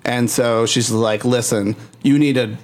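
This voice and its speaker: A man's voice: mid-range voice, steady tone, measured pace, darkish tone. A man hosting a podcast from a basement, chatting casually and joking bawdily. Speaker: podcast host. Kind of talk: casual chat. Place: basement studio.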